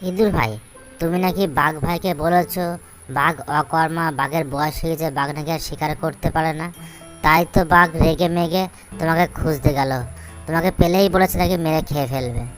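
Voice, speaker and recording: A woman's voice: deep voice, fairly even intonation, a little fast, soft background music, lightly acted. A woman voicing a sly, scheming fox in a cartoon fable, talking in a persuasive, confiding way. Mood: feigned concern. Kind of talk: cartoon animal fable acting.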